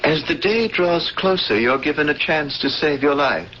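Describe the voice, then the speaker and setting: A man's voice: fairly high pitch, lively intonation, moderate pace, slight background noise, lightly acted. An actor playing a stoic male prisoner and lone rebel, resigned and earnest, in a 1970s radio drama. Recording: dull lo-fi old radio broadcast.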